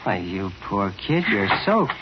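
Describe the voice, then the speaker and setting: An actor in an old-time radio drama playing a nervous, lonely, murderous department-store window dresser who stammers and hesitates. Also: male voice, fairly low pitch, very animated, unhurried. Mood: nervously solicitous. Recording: muffled, hissy vintage radio recording.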